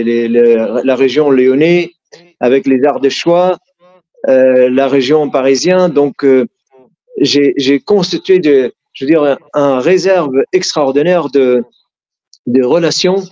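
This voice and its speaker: A middle-aged man from Syria, speaking French with an Arabic accent in an earnest, storytelling way. His voice is mid-pitched, and he often hesitates and restarts mid-sentence. The recording is slightly dull and lo-fi. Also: dark tone. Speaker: interviewee. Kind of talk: casual storytelling interview.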